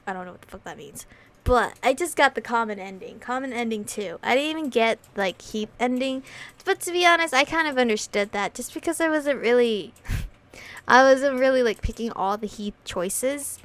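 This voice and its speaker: A woman's voice: on the high side, animated, normal speed, fairly bright tone. A woman doing a casual visual novel playthrough, reading story text aloud and reacting with slangy, sweary commentary. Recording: music playing behind the voice. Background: background music.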